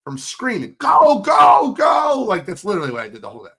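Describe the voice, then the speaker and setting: Hoarse, animated man talking sports. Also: sits high, a little fast, raised voice. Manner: animated, teasing, fired up. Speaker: podcast co-host. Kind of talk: casual sports chat.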